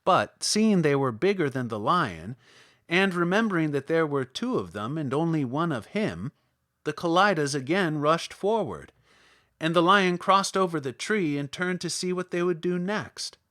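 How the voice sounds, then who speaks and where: A man's voice: on the high side, expressive, moderate pace, a bit bright, no echo, studio quality. A man reading a children's storybook aloud as a scripted narration. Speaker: audiobook narrator.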